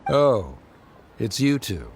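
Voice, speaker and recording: A man voicing a reserved, formal middle-aged scholar and father who speaks in a measured way, over game music. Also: medium pitch, big pitch swings, slight background noise. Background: game background music.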